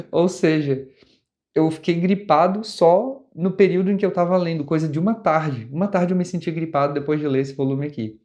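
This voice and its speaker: A man's voice: medium pitch, a little mellow. A young adult man with a Brazilian Portuguese accent, talking casually as host of a comics review channel, often restarting and rephrasing as he goes. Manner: conversational, with frequent restarts and rephrasing.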